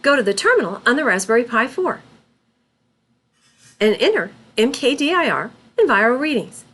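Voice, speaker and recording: High-voiced woman narrating a lively tutorial. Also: slightly rough. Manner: deliberate, instructional, spells out terms letter by letter. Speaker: instructor. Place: voice-over for a screen recording.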